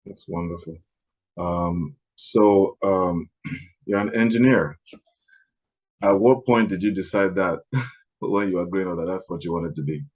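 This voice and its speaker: Guy with a really deep voice hosting a podcast, soft, hesitant, lots of ums, muffled audio. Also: a little slow, long pauses. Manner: soft-spoken, hesitant, clears throat often. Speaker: podcast host. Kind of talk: interview hosting.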